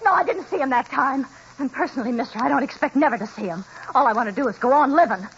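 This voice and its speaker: A sassy, tough-talking young hitchhiker in an old radio play. Her slangy voice runs fairly high and swings widely in pitch, heard through a muffled broadcast with faint hiss. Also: animated, fast, a crowd in the background. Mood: scared, defiant. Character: brash wisecracking young woman hitchhiker. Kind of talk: 1940s radio drama acting.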